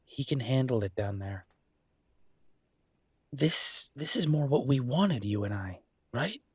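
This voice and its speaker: A man playing an earnest, down-to-earth chef boyfriend in an audio roleplay, talking casually and a little hesitantly in a husky voice. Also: mid-range voice, animated, takes it slowly, no room sound. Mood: reassuring, excited. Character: enthusiastic down-to-earth chef boyfriend opening a mountain restaurant. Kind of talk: audio roleplay acting, casual one-sided conversation.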